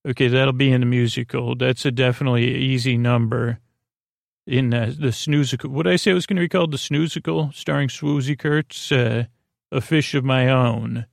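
A man hosting a bedtime podcast in a creaky, gravelly voice, rambling and halting as thoughts trail off. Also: medium pitch, fairly even intonation, no echo, studio quality. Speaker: podcast host.

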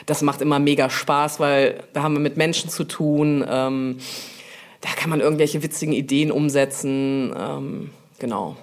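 A woman's voice: sits low, fairly even intonation, normal speed. A woman speaking as an interview guest, in an informal, colloquial and chatty way. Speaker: interview guest, publishing brand manager. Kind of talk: casual interview talk.

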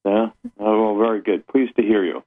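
Heard over a thin, narrowband phone line, a middle-aged man with a fairly low voice calls in to a talk show. He chats casually, politely and in measured phrases. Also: steady tone. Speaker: radio show caller.

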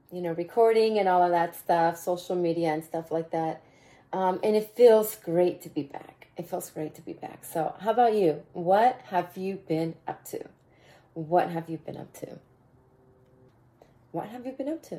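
A woman with a raspy voice hosting a motivational podcast, talking casually and mentoring her listeners, often repeating phrases. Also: fairly low pitch, moderate pace. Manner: warm mentoring tone, repeats phrases, bursts of laughter. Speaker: podcast host.

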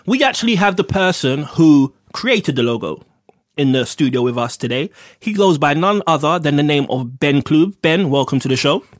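A man's voice: fairly high pitch, lively intonation, moderate pace. Jokey man co-hosting a podcast. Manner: jokey, teasing, laughs often. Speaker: podcast co-host. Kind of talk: casual chat.

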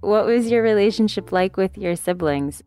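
A woman's voice: medium pitch, animated, moderate pace, warm tone, clear, resonant tone, faint music behind. A woman co-hosting a podcast, narrating and asking interview questions in a friendly, conversational way.

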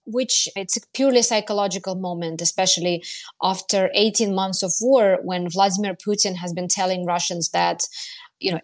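A woman journalist giving explanatory commentary on current affairs in a news podcast discussion. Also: lowish voice.

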